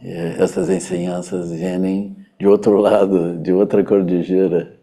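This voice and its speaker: Gravelly deep-voiced man with a Brazilian accent. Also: level tone, warm tone. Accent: Brazilian Portuguese. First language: Portuguese. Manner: hesitant, many pauses and fillers, drifts into Portuguese. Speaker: Buddhist teacher giving a public talk. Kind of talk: unhurried dharma talk.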